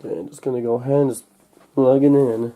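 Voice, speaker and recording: A young man recovering from a cold, narrating a casual unboxing in a halting, unscripted way, thinking aloud as he goes. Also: medium pitch, fairly even intonation, dark tone, poor audio. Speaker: hobbyist vlogger. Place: indoors at home.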